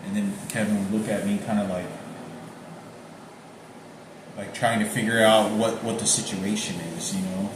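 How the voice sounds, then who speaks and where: A man with a fairly low voice delivers a rambling personal monologue. He talks haltingly, circling back and repeating himself as he searches his memory. The sound is heavily echoed, with clear noise behind him. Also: flat delivery. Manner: halting, repetitive, searching for names. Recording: very echoey room with noticeable background noise.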